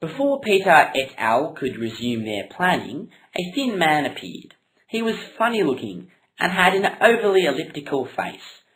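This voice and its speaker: A woman narrating a comic puppet story in a deadpan storytelling style. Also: low voice, normal speed, low-quality recording.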